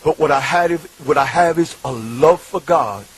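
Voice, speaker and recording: Raspy, high-voiced New preacher. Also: male voice, normal speed, low-quality recording. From Bronx, New York. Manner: shifts from loud shouting to hushed, tearful murmurs. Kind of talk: impassioned sermon.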